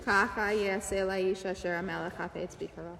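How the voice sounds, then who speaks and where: A woman's voice: mid-range voice, fairly even intonation, gravelly, long reverb tail, very noisy. A woman reading character lines in a chanted liturgical style. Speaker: reader of character lines in a group scripture reading.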